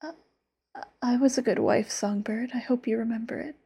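A woman's voice: on the high side, fairly even intonation, moderate pace. Woman playing a possessive immortal lover. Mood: teasing whisper. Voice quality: soft breathy whisper. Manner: intimate, sweetly menacing, sudden flares. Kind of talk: whispered audio roleplay.

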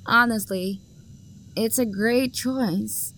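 A woman's voice: on the high side, slow pace, fairly bright tone, slight background noise. A young woman acting an obsessive, possessive lover who hunts for the one she adores, her voice sweet and menacing and often sinking to a whisper. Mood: hushed, sinister. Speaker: voice actor. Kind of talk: audio roleplay acting.

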